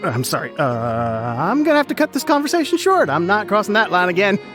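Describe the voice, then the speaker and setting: A man's voice: sits high, sing-song, fairly quick, music in the background. A jittery tipsy man. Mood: fearful. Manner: rambling, hushed and jumpy, stammers. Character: tipsy, nervous middle-aged man, jittery and fearful. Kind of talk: video game dialogue.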